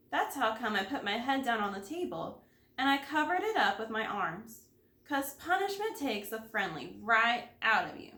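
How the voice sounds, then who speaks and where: A woman with a fairly high voice reads a children's story aloud. Her delivery is expressive, like a kindergarten teacher's, and the recording has plenty of room echo. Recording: echoey room.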